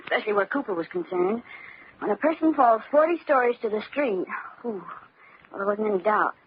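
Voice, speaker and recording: A woman's voice: mid-range voice, animated, brisk, husky. A woman playing a wry, inquisitive detective's partner in an old-time radio drama. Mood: curious, wry. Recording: dull lo-fi old radio, faint noise.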